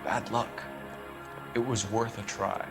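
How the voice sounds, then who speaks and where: A man's voice: fairly low pitch, little pitch movement, takes it slowly, vocal fry, soft background music. A man playing an intense, driven police detective in a dramatic video game. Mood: accusing, determined.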